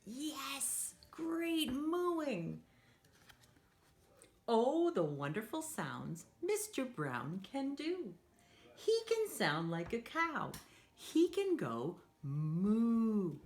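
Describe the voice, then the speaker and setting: A woman's voice: on the high side, drawn-out words. A woman hosting a read-aloud storytime for young children, speaking in a playful, encouraging way. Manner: animated, encouraging, asks listeners to join in; playful, acts out sound effects.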